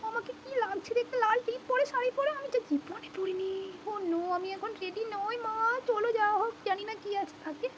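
A woman's voice: very high-pitched, a little fast, few pauses, noisy background, pitched above the performer's own voice. A woman voicing a fretful, reluctant young bride-to-be in a children's doll puppet play, chatting. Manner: fretful, chatty, code-mixes English exclamations. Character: young village-dressed woman student, reluctant about arranged marriage. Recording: loud music behind the voice.

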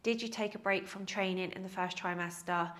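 A woman's voice: mid-range voice, steady tone, fairly quick, a bit bright. A woman in her thirties with a nasal, cold-stuffed voice, chatting to camera in a casual Q&A, laughing at herself often. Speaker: fitness vlogger. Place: small indoor room with plants. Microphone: lapel mic.

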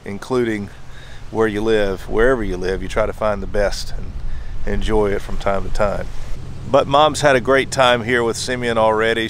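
A young man holds his camera at arm's length on a coastal trail, his voice a little lower than most. He talks in an upbeat, tour-guide manner, and a faint hum of wind and waves sits behind him. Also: fairly bright tone. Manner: enthusiastic tour-guide chatter. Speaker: vlogger. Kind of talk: casual travel vlog narration. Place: outdoors on a wooded coastal trail. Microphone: handheld camera at arm's length.

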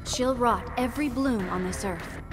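Casting: actress for young possessed woman in a game, troubled but defiant, higher register, music beneath. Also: normal speed, natural voice. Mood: grim warning. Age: young adult. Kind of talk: video game acting.

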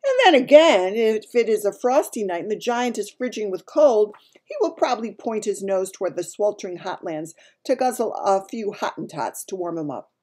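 A woman voicing a gentle, runty male giant from a children's storybook, talking in muddled grammar and made-up words. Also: medium pitch, animated, moderate pace, few pauses, voice pushed up, natural voice. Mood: explaining. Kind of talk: dramatised children's storybook reading.

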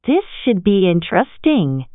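Woman, lively pitch, clear example sentences, phone-like sound. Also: a little slow, no echo. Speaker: English example speaker. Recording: tinny, phone-like band.

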